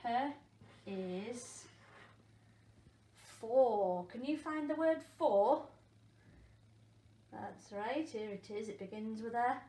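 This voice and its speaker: A woman, a primary school teacher, leading an interactive phonics lesson for young children, encouraging them and asking questions with pauses for answers. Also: on the high side, animated, warm tone. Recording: echoey room with faint background noise.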